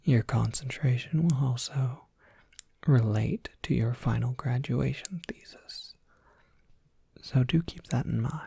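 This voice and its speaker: Slow, whispering, breathy professor voiced by an ASMR performer. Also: medium pitch, dry recording, exaggerated voice. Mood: explanatory, gentle. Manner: formal, measured, gently hesitant phrasing. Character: soft-spoken, courteous university professor welcoming a new student. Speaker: professor overseeing a college major. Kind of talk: ASMR roleplay, whispered and soft-spoken.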